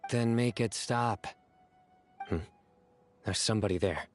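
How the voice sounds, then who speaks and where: A man voicing a stern, composed young guardian in a game, measured, self-assured and deadpan, quietly fond of friends. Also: fairly low pitch, faint music behind, lightly acted. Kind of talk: game voice acting.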